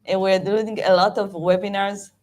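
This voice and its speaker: A middle-aged woman with an Israeli accent, a native Hebrew speaker, giving a halting, animated talk with many fillers and restarts as a guest speaker at a meetup. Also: mid-range voice. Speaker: guest speaker, project management VP.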